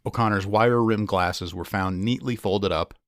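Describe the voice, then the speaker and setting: Guy narrating a true crime article, scripted read, lowish voice, super dry studio-like sound. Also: normal speed, a bit bright, no echo.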